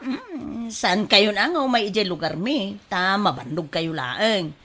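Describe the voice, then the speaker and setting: A woman with an Ilocano accent acting as a sly, fast-talking livestock seller in a radio drama, animated and exclamatory. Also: mid-range voice, exaggerated voice. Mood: coaxing.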